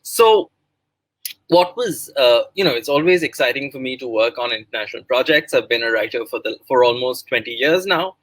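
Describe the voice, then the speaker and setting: A middle-aged man with an Indian accent talking about his work as a writer, pausing and picking his words as he goes. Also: mid-range voice, lively intonation, no room sound, poor audio. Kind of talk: pre-recorded talk about own project.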